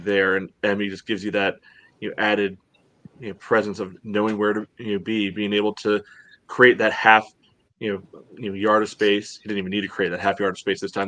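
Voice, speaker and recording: A man talking through a soccer match casually as a podcast host, in a halting way peppered with "you know.". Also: on the low side, a bit bright.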